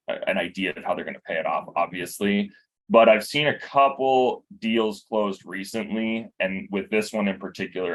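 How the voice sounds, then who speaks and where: A man from a bank giving a relaxed briefing, explaining loans with examples. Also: sits low. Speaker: bank loan officer. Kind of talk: informal work briefing.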